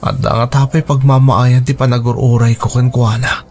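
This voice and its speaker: A man's voice: medium pitch, flat delivery, normal speed, darkish tone, close to an everyday voice. A man with an Ilocano accent playing a lovesick, hardworking young farmer who broods anxiously, in a radio drama. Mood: wistful, brooding. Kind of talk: Filipino radio drama acting. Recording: music under the voice.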